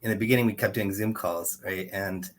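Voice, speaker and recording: Relaxed male panel host. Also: sits low, a little fast. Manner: relaxed, conversational, some filler hesitations. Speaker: webinar host. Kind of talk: hosting a video-call panel.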